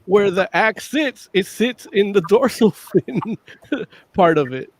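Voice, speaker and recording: A man's voice: sits high, lively intonation, takes it slowly, dry recording. A man co-hosting a casual chat over a video call, explaining things in a knowing way and trailing off. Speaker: podcast co-host.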